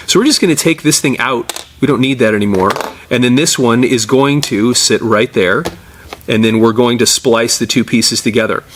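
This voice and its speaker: Middle-aged male technician, medium-pitched voice, informal step-by-step demo delivery while working with his hands. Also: a bit bright. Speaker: tape repair and digitizing technician, presenter. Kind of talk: casual hands-on tutorial.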